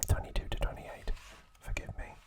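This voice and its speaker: A man hosting ASMR, reading trivia in a breathy, hushed, soothing whisper. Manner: slow, hushed, soothing delivery. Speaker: ASMR video host.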